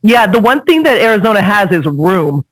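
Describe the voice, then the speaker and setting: Chatty high-voiced podcast host. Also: male voice, sing-song, normal speed, a bit bright, slight background noise, rough recording. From California, United States. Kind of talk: casual podcast conversation.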